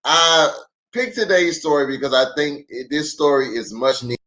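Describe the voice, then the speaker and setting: A man's voice: a little high, moderate pace. A middle-aged man, a pastor, reading a children's storybook aloud with playful, chatty asides to the young listeners. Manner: expressive, playful, addresses children directly.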